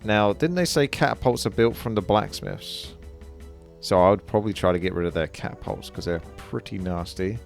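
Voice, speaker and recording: A man's voice: on the low side, normal speed, creaky, soft background music. A man giving casual live gameplay commentary as a streamer, thinking aloud as he plays. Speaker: game streamer.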